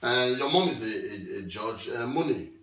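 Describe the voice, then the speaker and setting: A man's voice: medium pitch, animated, normal speed, a bit bright. A man hosting a casual live interview, talking with many hesitant fillers. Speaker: host. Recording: echoey room, distant mic.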